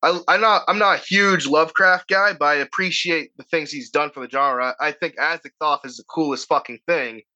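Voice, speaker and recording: A man's voice: a little high, moderate pace, few pauses, sharp tone, lo-fi sound. A man with a Boston accent, an author talking in a casual podcast conversation, profane and rambling with frequent restarts. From Boston area, United States. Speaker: author guest.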